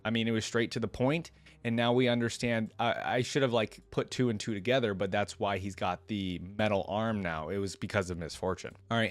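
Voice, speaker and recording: Low-voiced man in a dry recording. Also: dry recording. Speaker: reaction video host. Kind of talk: casual reaction commentary mixed with reading lore text aloud.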